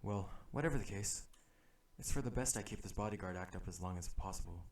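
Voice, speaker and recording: A man's voice: deep voice, fast talker, a little mellow, natural voice. A man playing an exasperated assassin who poses as a dutiful bodyguard in an ASMR audio roleplay, alternating polite formal address with grumbling inner thoughts. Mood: pensive, calculating.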